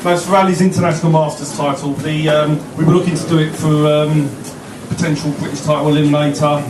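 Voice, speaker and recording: A man, a boxing promoter running a press conference, businesslike and halting with fillers. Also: on the high side, level tone. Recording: echoey room, far mic, noisy.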